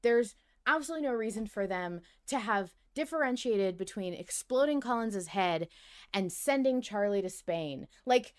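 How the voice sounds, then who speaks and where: A woman co-hosting a fan discussion podcast, chatting casually in an emphatic, expressive, sweary way. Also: medium pitch, normal speed, smooth. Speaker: podcast co-host.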